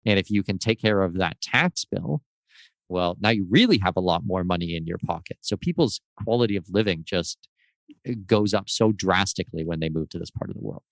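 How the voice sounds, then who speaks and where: Male guest expert, measured explainer, lowish voice, dry close sound. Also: creaky, dry recording, poor audio. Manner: explanatory, measured, gives lists of examples. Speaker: guest expert on residency and tax. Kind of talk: casual interview answers.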